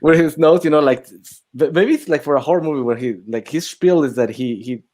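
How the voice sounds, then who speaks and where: A man's voice: on the high side, a little fast, a little mellow. A man co-hosting a casual film chat, telling stories in a loose, rambling way peppered with filler phrases. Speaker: co-host of a film chat livestream.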